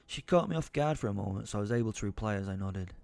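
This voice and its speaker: A man's voice: fairly low pitch, expressive, very fast, gravelly, darkish tone, no echo. An actor playing a foul-mouthed, ranting comic werewolf who narrates his own audio drama in a theatrical, rambling, sweary way. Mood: indignant. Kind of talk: dramatised first-person storytelling. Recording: music under the voice.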